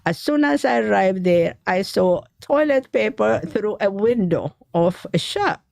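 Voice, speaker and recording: Halting gravelly woman author recounting. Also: mid-range voice, animated, a little mellow, no echo, clean studio sound. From Ethiopia. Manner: halting, searching for words. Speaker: author guest. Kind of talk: interview storytelling.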